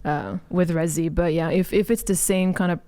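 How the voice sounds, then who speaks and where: A woman's voice: lowish voice, steady tone, normal speed, warm tone, smooth. A woman co-hosting a discussion show, chatting casually with a wry, sceptical manner. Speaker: co-host of a list discussion show. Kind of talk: casual joking chat.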